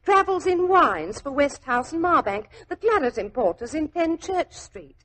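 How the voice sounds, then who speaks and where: A woman's voice: high-pitched, very animated, normal speed, fairly bright tone, slight background noise. An actress playing a chatty, naive young working-class woman in a 1940s radio drama, with a London accent and a flustered, rambling, deferential manner. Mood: chatty, confiding. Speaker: actor in a radio detective drama.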